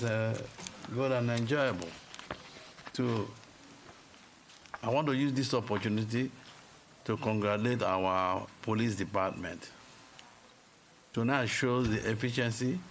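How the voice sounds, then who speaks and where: Gravelly, low-voiced councilman. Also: noisy background. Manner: brief, formal, repeats words. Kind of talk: council meeting report.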